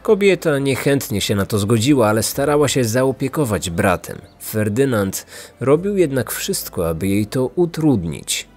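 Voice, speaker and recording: A man's voice: mid-range voice, big pitch swings, moderate pace, darkish tone. A man narrating a scripted true-crime podcast as its host, in a grave storytelling manner.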